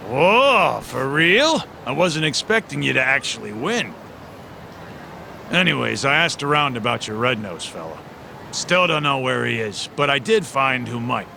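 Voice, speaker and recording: A chatty homeless man in a game cutscene, with a gravelly, lively voice that swings around in pitch. He talks in folksy slang, familiar and familiar-mannered, over clear city street noise. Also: unhurried, exaggerated voice. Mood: pleased, surprised. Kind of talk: video game cutscene acting.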